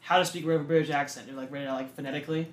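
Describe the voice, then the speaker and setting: Fast-talking young man, echoey room. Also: on the high side, fairly even intonation. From Massachusetts. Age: young adult. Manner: fast, filler-heavy, full of likes and you knows. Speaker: podcast co-host. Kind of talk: casual chat. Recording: roomy echo.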